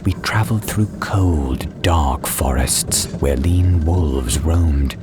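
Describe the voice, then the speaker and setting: A man reading a dramatized storybook as an audiobook narrator, with measured, deliberate phrasing. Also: very deep voice, over music. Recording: clear ambience and train noise behind. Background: soundscape of train and ambience.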